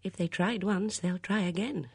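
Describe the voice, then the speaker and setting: A woman voicing a shrewd, gentle elderly English spinster in an audiobook, with a mild, absent-minded murmur. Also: medium pitch, moderate pace, slightly rough, dry recording, voice pushed up. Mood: musing.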